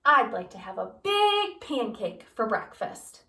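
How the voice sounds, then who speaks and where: An eager little boy from a picture book asks again and again for his breakfast, as a woman reads him aloud. The voice sits a little high. It sounds echoey and a bit distant from the mic. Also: sing-song, takes it slowly, pushed and bright, faint music behind, heavily acted.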